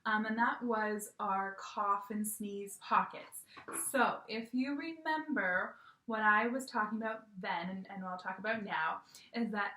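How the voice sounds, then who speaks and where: A woman's voice: a little high, distant mic. Patient woman teaching little kids. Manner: gentle, patient, demonstrative, frequent "okay?" checks. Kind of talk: virtual lesson for young children. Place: room at home. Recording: echoey room, voice a bit off-mic.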